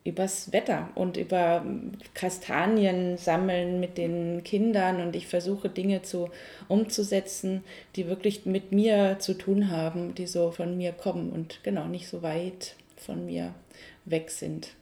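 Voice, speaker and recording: A Franconian woman in her thirties answers interview questions with a mellow, somewhat low voice. There is a gravelly fry in her tone, and she searches for words, with fillers and restarts. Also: level tone, unhurried. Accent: Franconian German. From Franconia, Germany. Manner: thoughtful, hesitant, many fillers and restarts. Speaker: cabaret artist, guest.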